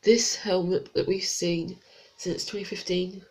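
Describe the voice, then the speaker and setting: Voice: medium pitch, fairly even intonation, a little slow, darkish tone, poor audio. A reviewer with a blocked, congested nose, chatting casually through a toy set review with unsure, rambling asides. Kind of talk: casual product review vlog.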